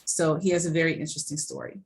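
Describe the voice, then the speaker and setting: Woman, professor, slide lecture, fairly low voice, chatty, lots of ums and self-corrections. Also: steady tone, fast. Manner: conversational, many ums and restarts. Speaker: college professor. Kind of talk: recorded lecture over slides.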